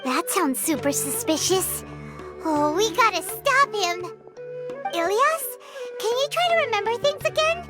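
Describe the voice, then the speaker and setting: A woman voicing a small, excitable, caring fairy companion with a squeaky childlike voice in a video game. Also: unhurried, full character voice. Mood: suspicious, indignant. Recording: loud game music behind. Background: tinkly children's game music.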